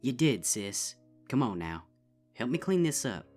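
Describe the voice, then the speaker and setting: A man's voice: medium pitch, very animated, measured pace, a little mellow, faint music behind, pitched above the performer's own voice. A man voicing a stern, protective older-sister farm pony with a drawl. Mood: gentle. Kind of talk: fanfic audiobook reading.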